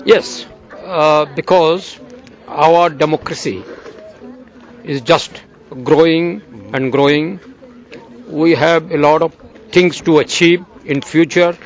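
An older man from Bangladesh, an agricultural journalist, giving slow, earnest interview answers outdoors. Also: fairly high pitch, steady tone, muffled recording.

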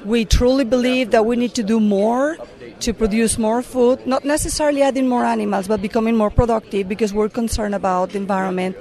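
Middle-aged woman from Mexico, Mexican accent, fairly high voice, earnest interviewee who pauses and restarts. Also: steady tone, mellow. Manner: earnest, with frequent fillers and restarts. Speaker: corporate affairs director. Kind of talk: conference interview answers.

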